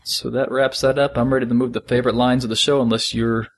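A man's voice: mid-range voice, flat delivery, fast talker, slight background noise. A man hosting a podcast, talking casually as a fan discussing a story. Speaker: podcast host.

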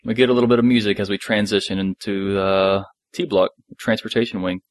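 A man with a fairly low voice, recorded dry with little room sound. He talks as a podcast co-host going through a story recap, in a casual, somewhat halting way. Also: steady tone, no echo. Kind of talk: casual episode recap discussion.

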